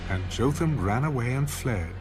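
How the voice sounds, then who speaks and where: A man narrating an audio Bible in a measured, formal scripted style. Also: mid-range voice, lively intonation, music in the background, close to an everyday voice.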